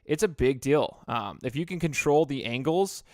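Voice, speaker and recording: A man's voice: mid-range voice, animated, normal speed, no room sound. Man, young, podcast host, rambling, dry close mic. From Idaho. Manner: chatty, rambling with restarts. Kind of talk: casual interview.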